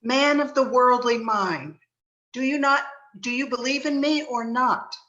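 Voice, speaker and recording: A woman's voice: high-pitched, a little slow, bright, forceful tone, lo-fi sound. A woman voicing the tormented, mournful ghost of a dead miser, in a solemn, declamatory amateur reading of a Victorian story. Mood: stern, challenging.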